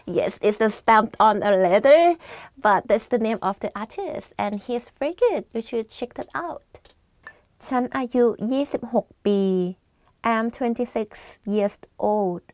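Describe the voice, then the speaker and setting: A young woman from Thailand with a Thai accent, presenting a language lesson in a chatty, friendly way and explaining phrases between slow repeats. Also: a little high, a little mellow, dry recording, clean studio sound. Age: young adult. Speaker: language teacher. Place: studio with plain backdrop. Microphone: lapel mic.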